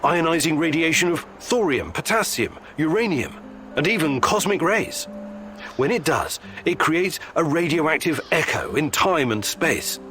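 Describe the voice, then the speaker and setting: An actor playing an earnest, determined male scientist in a video game, speaking in a serious, measured, explanatory manner. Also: a little high, sing-song, fairly bright tone, soft background music. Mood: patient, explanatory. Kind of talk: video game character acting.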